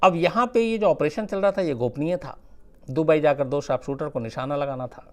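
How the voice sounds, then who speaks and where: A man telling a true-crime story without a script, conversational and suspenseful, with dramatic pauses. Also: a little high.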